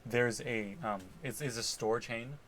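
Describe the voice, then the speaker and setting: A young man co-hosting a podcast, easygoing and quick to laugh. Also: medium pitch, steady tone, noisy background. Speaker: podcast co-host. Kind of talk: casual chat.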